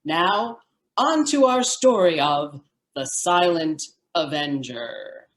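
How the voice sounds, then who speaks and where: Slow, dramatic woman radio announcer. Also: sits low, expressive, roomy sound. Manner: dramatic, deliberate delivery. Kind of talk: scripted old-time radio show narration.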